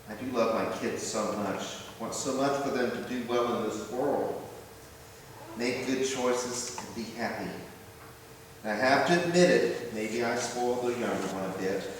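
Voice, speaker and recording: A man's voice: lowish voice, normal speed, natural voice. A man playing a loving, emotional father of grown sons in a church readers theater monologue, speaking in a heartfelt, reflective way. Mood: wistful. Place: large church room, live before congregation. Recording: very echoey church hall, distant, faint noise.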